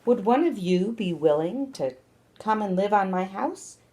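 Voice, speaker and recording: Woman reading as polite, earnest storybook hermit crab, lively lilt. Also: medium pitch, a little slow, natural voice. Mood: admiring, hopeful. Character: male hermit crab, polite, admiring, eager homemaker.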